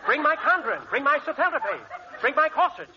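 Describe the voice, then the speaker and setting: An actor playing a hotel manager who rattles off confident double-talk gibberish in a 1940s radio comedy. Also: male voice, very high-pitched, sing-song, normal speed, crowd noise. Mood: indignant. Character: fast-talking male manager spouting double-talk gibberish. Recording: hissy lo-fi old radio recording.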